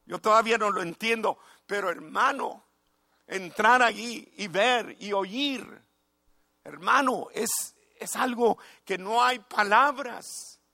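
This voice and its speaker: A middle-aged pastor preaches with fervor, his voice high and bright. He builds to shouts of praise. The sound is dry and close. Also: no room sound. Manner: fervent preaching, rising to exclamations of praise. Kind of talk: sermon.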